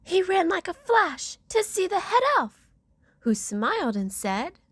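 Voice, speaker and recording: A woman's voice: very high voice, big pitch swings, measured pace, no room sound, clean studio sound. A woman reading a rhyming children's picture book aloud with theatrical storyteller emphasis.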